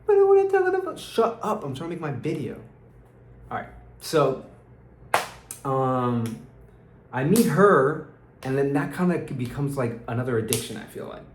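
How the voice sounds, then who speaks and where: A man's voice: fairly high pitch, roomy sound, a little hiss or hum. A young man from Peru with an American accent, talking through a casual diary vlog in a rambling, slang-heavy way and sounding tired. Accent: American English. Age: young adult. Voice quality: rambling, restarts often, uses slang like dude and bro. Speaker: vlogger.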